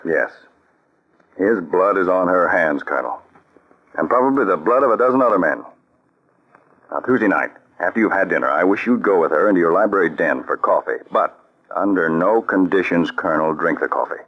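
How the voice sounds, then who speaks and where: A firm, grave counterspy chief in a vintage radio drama. His voice is very deep and his delivery measured and authoritative. The sound is dull and lo-fi, as on an old broadcast. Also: animated, husky. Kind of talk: 1940s radio acting.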